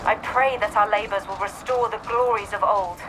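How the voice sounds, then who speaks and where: A woman's voice: medium pitch, fairly even intonation, moderate pace, natural voice. A woman playing a stern, devout military guide in a video game, speaking in a grandiose, formal, commanding way. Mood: solemn. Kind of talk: video game mission briefing. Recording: loud battle noise behind.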